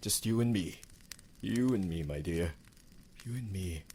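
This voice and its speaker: Guy doing an old vampire boyfriend asmr, super low voice, formal n devoted, bit of noise behind it. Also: warm tone. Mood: brooding, protective. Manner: slow, formal, theatrical phrasing with pauses. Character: ancient possessive male vampire, devoted and refined lover. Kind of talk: roleplay ASMR, intimate dramatic monologue.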